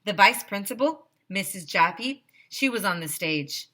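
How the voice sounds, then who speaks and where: A woman reads a children's story aloud as a teacher would, stressing key words with animation. Her voice is bright and her pace is slow and deliberate, at a middling pitch. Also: animated. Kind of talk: expressive children's read-aloud narration.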